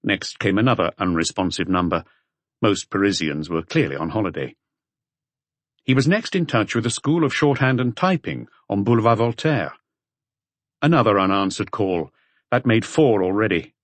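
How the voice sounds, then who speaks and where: A man's voice: lowish voice, lively intonation, moderate pace, no echo. A man reading scripted crime fiction as an audiobook narrator.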